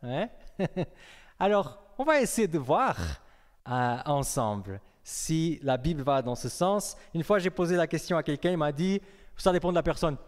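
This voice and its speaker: A man's voice: a little high, very animated, normal speed. A young man preaching a sermon in a conversational way, asking his congregation questions. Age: young adult. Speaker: pastor. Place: church hall. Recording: long reverb of a hall.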